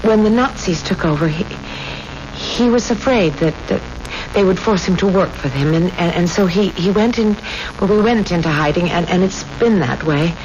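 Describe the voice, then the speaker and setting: A woman's voice: fairly low pitch, animated, normal speed, natural voice. A woman acting in a 1970s radio drama as a frightened young woman, stammering and imploring. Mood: weary, confiding. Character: frightened, pleading young woman in desperate straits. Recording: muffled, noisy old radio recording.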